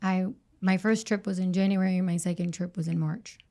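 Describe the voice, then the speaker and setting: A woman, a registered nurse, answering interview questions earnestly, with halting restarts. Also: lowish voice, fairly even intonation, dark tone.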